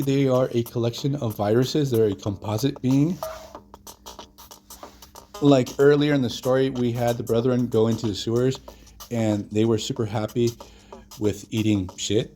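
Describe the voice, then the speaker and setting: A hoarse man hosting his own channel, retelling a comic story in a loose, chatty way with lots of fillers. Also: medium pitch, mellow. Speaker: comic book channel host. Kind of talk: casual solo video commentary.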